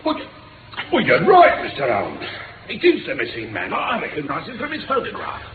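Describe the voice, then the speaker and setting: A veteran British inspector, emphatic and blustery yet deferential. His voice jumps about in pitch. It is 1950s radio drama on a narrow, noisy old recording. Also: wildly swooping pitch, moderate pace. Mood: astonished.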